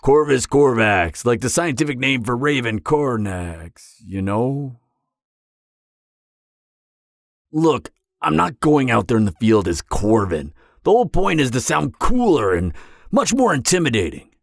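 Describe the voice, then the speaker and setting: A man's voice: medium pitch, moderate pace, gravelly, no echo, studio quality. A young man playing a charming, vain businessman supervillain on a blind date in a romantic comedy audio roleplay, talking conversationally and sarcastically to the listener. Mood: smug, chatty. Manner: conversational, animated, exasperated venting with sarcastic edge.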